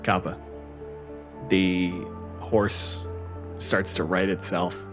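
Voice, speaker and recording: A man's voice: low voice, little pitch movement, extremely slow. A man narrating an improvised tabletop roleplaying game as game master, in a casual, sweary way. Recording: music playing behind the voice. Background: background music.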